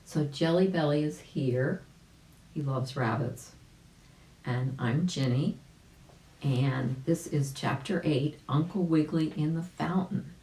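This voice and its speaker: A woman reading a children's story aloud as a volunteer, unhurried and animated, with chatty asides. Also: deep voice. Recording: roomy, echoing sound.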